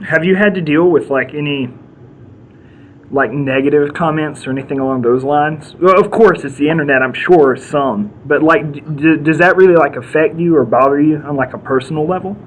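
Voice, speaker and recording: A man's voice: on the high side, normal speed, slight background noise, rough recording. A man hosting an interview, rambling and joking playfully with lots of fillers. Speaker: interview host. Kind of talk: casual interview chat.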